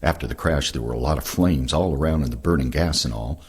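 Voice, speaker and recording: An older man with a gravelly, husky voice reading first-person war recollections aloud. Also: a bass voice, quick pace. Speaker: reader of veterans' oral histories.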